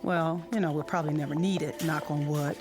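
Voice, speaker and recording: Actress as breezy suburban wife, music behind. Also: female voice, deep voice, fairly even intonation, fairly quick, lightly acted. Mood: busy, affectionate. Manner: breezy, colloquial. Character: chatty, easygoing suburban wife and mother. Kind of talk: modern radio drama acting.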